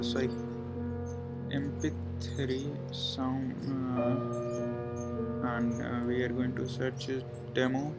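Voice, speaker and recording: A man giving an informal programming walkthrough, thinking aloud in a hesitant, halting way as he works. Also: mid-range voice. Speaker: coding tutorial presenter. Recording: loud music playing behind voice.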